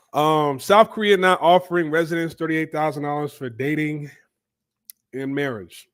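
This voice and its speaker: Male channel host, somewhat high pitch, congested from a cold, rambling casual commentary. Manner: loose, repetitive, thinking aloud with fillers. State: stuffy from a cold.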